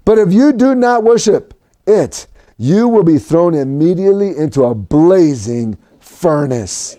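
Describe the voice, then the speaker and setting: High-voiced preacher from Mexico, mellow. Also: male voice, measured pace. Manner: animated, rising to shouts at peaks. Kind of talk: sermon. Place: home recording for a virtual service.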